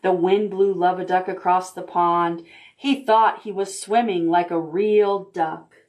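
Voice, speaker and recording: A woman's voice: lowish voice, steady tone. A woman librarian reading picture books aloud to preschoolers, stopping to ask the children questions. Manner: gentle, deliberate storytime delivery.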